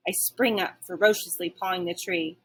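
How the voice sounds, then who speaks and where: A woman's voice: fairly low pitch, fairly even intonation, normal speed, a bit bright, breathy edge. A woman reading a children's story aloud like a teacher, expressively, with dramatic and varied emphasis.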